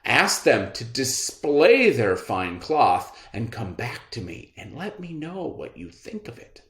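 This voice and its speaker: A man's voice: mid-range voice, sing-song, takes it slowly, fairly bright tone, echoey room, heavily acted. A man voicing a vain, silly emperor with a pompous, theatrical manner in a read-aloud storybook tale. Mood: commanding. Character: vain, silly emperor, commanding then nervously self-doubting.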